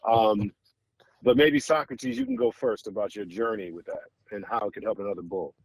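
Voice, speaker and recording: A man's voice: lowish voice, big pitch swings, normal speed, gravelly, a little hiss or hum, poor audio. An American man hosting a podcast interview in a casual, conversational style, with meandering and admiring questions. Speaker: podcast host interviewing guests.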